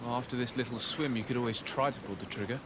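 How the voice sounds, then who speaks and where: A man playing a composed, courteous young diplomat-adventurer in a video game, speaking in measured, earnest, formal phrases. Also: mid-range voice, background noise, close to an everyday voice. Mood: matter-of-fact. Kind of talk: video game cutscene acting.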